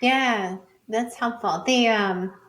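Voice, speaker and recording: A woman's voice: fairly high pitch, fairly bright tone. Informal woman thinking aloud in an interview. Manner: informal, halting and discursive, often chuckling. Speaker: podcast guest, data and feminism scholar.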